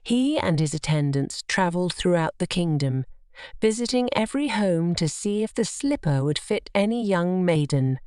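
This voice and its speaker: A woman narrating a scripted children's bedtime story in an expressive, measured storytelling manner. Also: lowish voice, clear, resonant tone, no echo, studio quality.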